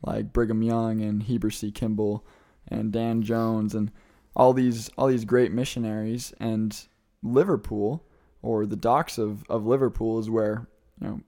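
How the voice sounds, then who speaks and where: A young man from the American Midwest talks eagerly about his travels, chatty and quick to laugh. His voice is low and mellow, without any bright edge. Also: level tone. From Midwest United States. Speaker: guest, returned missionary. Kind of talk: casual interview answers.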